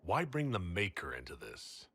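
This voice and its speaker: Deep-voiced, wry heroic leader. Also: male voice, huge pitch swings, normal speed, fairly bright tone, a little hiss or hum, exaggerated voice. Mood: wry, modest. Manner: dry, teasing. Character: wry, self-assured male hero leader. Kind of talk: video game cutscene acting.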